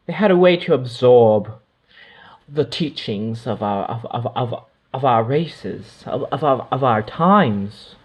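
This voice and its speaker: Man, channeler, unhurried, mid-pitched voice, dreamy mystical delivery, soft murmured asides. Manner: slow, drifting and mystical, with pauses and soft trailing asides. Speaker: spiritual channeler. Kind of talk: channeled spiritual monologue.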